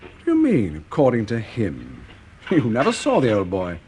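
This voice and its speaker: A shifty husband in an old radio play, blustering and guilty. His voice leaps about wildly in pitch, over a noisy vintage recording. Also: wildly swooping pitch, normal speed, darkish tone. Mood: evasive bluster. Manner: blustering, evasive. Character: evasive murderous husband, denying guilt, cracking into panic. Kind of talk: vintage BBC radio drama acting.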